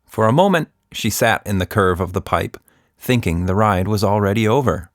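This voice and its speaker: A man's voice: lowish voice, expressive, moderate pace, no room sound, studio quality. A middle-aged man hosting and telling a children's story in a playful, conversational manner. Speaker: podcast host and storyteller.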